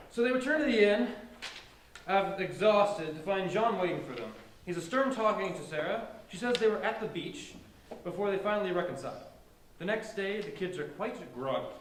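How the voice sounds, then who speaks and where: A man reading scripted narration at an amateur staged play reading. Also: high voice. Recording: roomy, faint background noise.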